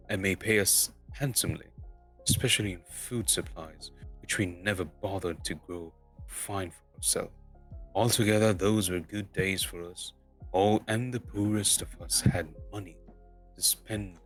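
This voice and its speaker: Storybook dwarf lord, performed by a man: low voice, proud and impatient, declaiming in emphatic bursts, music underneath. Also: a bit bright, low-quality recording. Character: Thorin, proud male dwarf leader, grandiose and impatient.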